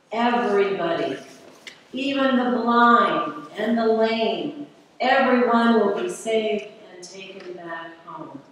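A middle-aged woman preaches slowly and deliberately from a pulpit, leaning on rhetorical questions. She is heard from far back in a large church, her voice washed in long echoing reverb over a faint room hiss. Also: on the high side. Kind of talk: sermon read from a pulpit. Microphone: far camera at the back of the church. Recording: echoey church hall from far off.

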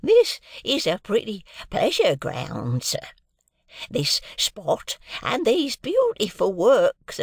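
A man voicing a cheerful, proud old man in an audiobook, with drawn-out, excitable exclamations. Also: high-pitched, gravelly, no room sound, clean studio sound, voice pushed up, full character voice. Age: older.

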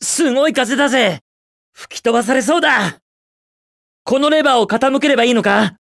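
A man's voice: very high-pitched, fairly quick, gravelly, fairly bright tone, no room sound, full character voice. A man voicing a cocky, carefree young hedgehog speedster hero in a video game, with a cool, brash, quippy delivery. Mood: urgent. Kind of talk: Japanese video game character voice lines.

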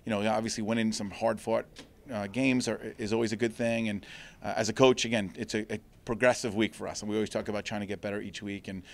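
A man's voice: fairly low pitch, steady tone, quick pace, vocal fry. A middle-aged man, a head coach, giving an earnest postgame interview with many restarts, speaking into a handheld mic in front of a backdrop. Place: indoors before a sponsor backdrop. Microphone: handheld mic held by interviewer.

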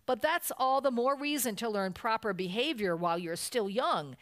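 A woman's voice: a little high, animated, normal speed, a bit bright. A woman voicing a firm, sensible mother bear from a children's storybook, reasoning and scolding. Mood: disapproving.

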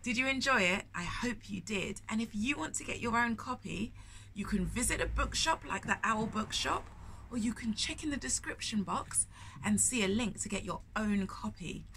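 A woman in her thirties reading a picture book aloud to young children, with expressive drawn-out emphasis and questions put to the listener. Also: a little high, a little hiss or hum. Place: indoors in a bookshop, in front of shelves.

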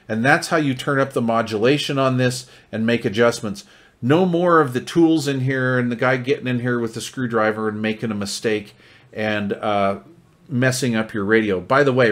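An American man giving a casual hands-on product review in a folksy, conversational, enthusiast's manner. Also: medium pitch. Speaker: radio reviewer.

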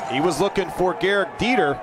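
A man calling a college football game play by play, brisk and rising with excitement on big plays. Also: high voice. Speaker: play-by-play announcer. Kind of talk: live football play-by-play commentary. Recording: broadcast with loud crowd and noise behind.

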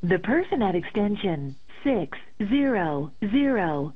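Tinny, slow female voicemail prompt voice. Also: medium pitch, big pitch swings. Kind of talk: recorded phone system prompts. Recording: tinny phone line with loud noise.

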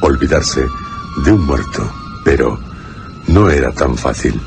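A man with an extremely deep voice and Castilian accent narrates radio horror. He is grave and deliberate, pausing for drama, with loud music behind him. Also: big pitch swings, creaky. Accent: Castilian Spanish.

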